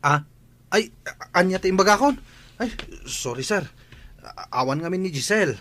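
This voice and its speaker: A flustered, deferential household driver in a Filipino radio comedy. The voice is high for a man and swoops around, stammering in a Filipino accent. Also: animated, moderate pace, a bit bright. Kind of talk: Ilocano radio comedy-drama acting.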